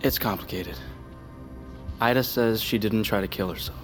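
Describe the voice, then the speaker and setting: Audio drama, male actor as calm guarded leader and medic, lowish voice, measured authority. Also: faint music behind, close to an everyday voice.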